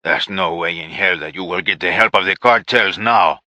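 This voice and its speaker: A man's voice: low voice, normal speed, bright, forceful tone, dry recording. A man doing a defiant cartel man with a Spanish accent. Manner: firm, defiant. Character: defiant middle-aged male cartel captive.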